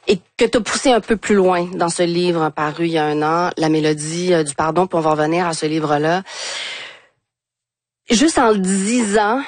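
Low-pitched woman hosting an interview. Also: no room sound. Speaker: interview host. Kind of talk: conversational live interview.

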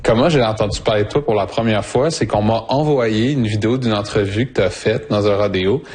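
A man's voice: fairly low pitch, fairly quick, a little mellow, slightly rough. A man with a Québécois French accent, a radio reporter asking questions and chatting casually. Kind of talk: casual interview chat.

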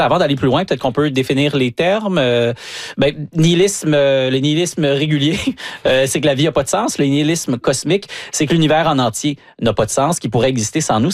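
A middle-aged man hosting a radio interview, relaxed and chuckling, with frequent hesitations. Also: mid-range voice, no room sound, studio quality. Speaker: radio host.

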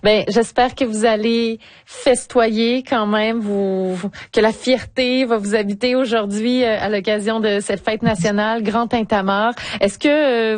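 A woman hosting a casual radio chat, chatty and quick to laugh. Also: medium pitch, dry recording. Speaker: radio host.

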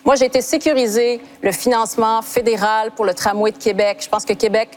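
A woman's voice: fairly high pitch, steady tone, brisk. A woman with a Quebec French accent in a political debate, listing her record with frequent hesitant fillers. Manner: lists achievements, hesitant fillers. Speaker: party leader in a debate. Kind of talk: debate argument.

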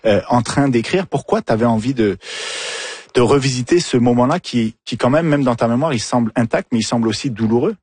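A man hosting a radio show, reading smooth introductions and asking follow-up questions. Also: medium pitch, a little fast, darkish tone, dry recording. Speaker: radio host. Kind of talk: radio interview. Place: radio studio.

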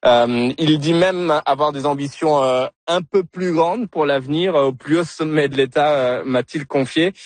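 A man on the radio reporting on a candidate, pausing often with fillers. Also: a little high, no echo. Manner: hesitant, many fillers.

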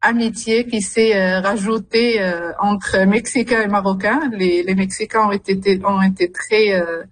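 A young woman, speaking as a journalist guest in an interview, with many pauses and fillers. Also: medium pitch, steady tone. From Morocco. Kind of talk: radio interview.

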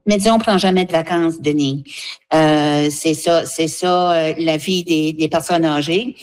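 A woman's voice: low-pitched, steady tone, a little slow, darkish tone. A woman, explaining earnestly as an association representative in a spontaneous interview.

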